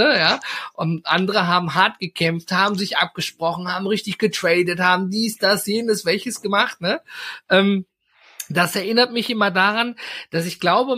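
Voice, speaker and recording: A man with a high, bright and forceful voice hosts a relaxed podcast conversation. He rambles through his points with frequent fillers and little tag questions to his guest. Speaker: podcast host. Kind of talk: casual interview chat.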